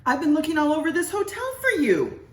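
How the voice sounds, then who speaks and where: Woman doing a shrill nagging wife, echoey room. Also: big pitch swings, fairly quick. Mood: scolding. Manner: shrill, scolding, questioning. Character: nagging, bossy, impatient wife. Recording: echoey room, distant mic, noise.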